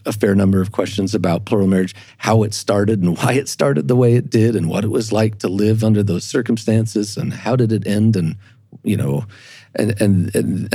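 A man speaking as an expert podcast guest, explaining carefully and haltingly, often repeating words as he goes. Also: lowish voice, warm tone, breathy edge, no echo. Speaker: podcast guest, church historian. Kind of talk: explanatory discussion.

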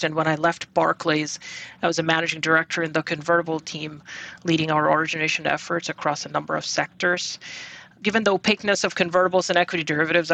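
A man from Bangladesh, a finance advisor appearing as a podcast guest, answering questions in a steady, explanatory way with occasional fillers. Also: on the high side, vocal fry. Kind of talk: interview answers.

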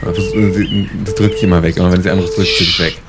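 A man's voice: low-pitched, flat delivery, fast, over loud music. A man improvising a roleplay story in casual chat, stammering and repeating words as he goes. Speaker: tabletop roleplay player. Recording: faint noise behind voice.

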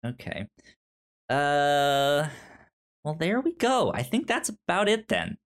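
A man co-hosting a podcast in casual movie chat, talking haltingly with stammered repeats. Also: a little high, huge pitch swings. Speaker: podcast co-host.

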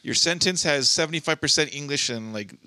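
A man's voice: fairly high pitch, a little fast, pushed and bright, dry recording, poor audio. A man from Southern California co-hosting a casual podcast, rambling through stories with lots of restarts and swearing. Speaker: podcast co-host.

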